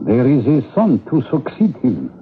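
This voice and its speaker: A man's voice: mid-range voice, huge pitch swings, moderate pace, slightly rough, phone-quality sound, heavily acted. A man playing an evil, calculating Egyptian courtier in a radio drama, deferential and sly. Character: evil, calculating royal courtier hungry for war. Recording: thin old radio sound.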